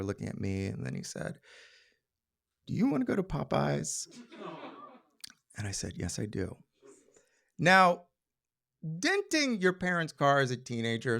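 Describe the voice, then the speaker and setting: A man telling a personal story on stage in an animated, conversational way with comic timing. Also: mid-range voice. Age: adult.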